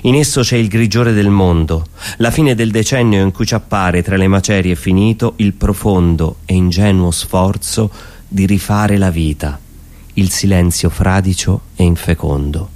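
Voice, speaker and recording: A man's voice: deep voice, normal speed, darkish tone. A man reciting poetry in a dramatic, literary delivery.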